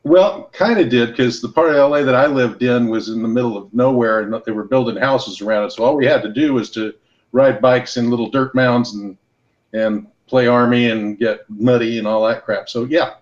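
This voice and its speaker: A man's voice: mid-range voice, normal speed. Podcast guest, male, laid-back storyteller, slightly muffled lo-fi sound. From Los Angeles and Hawaii. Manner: relaxed, storytelling, advice-giving. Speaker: guest entrepreneur. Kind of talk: casual podcast conversation.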